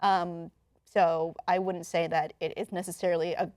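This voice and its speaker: A woman's voice: lowish voice, little pitch movement, normal speed, a little mellow, dry recording, studio quality. A woman hosting an online entertainment news show, explaining things in a casual, conversational way. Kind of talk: casual news chat with a co-commentator.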